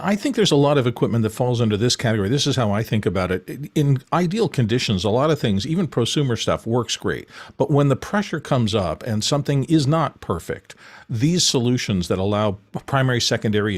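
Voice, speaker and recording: A man with a fairly low voice speaks as a panelist on an online show. His delivery is measured and explanatory, laid out in complete thoughts. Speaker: panelist who reads out audience questions.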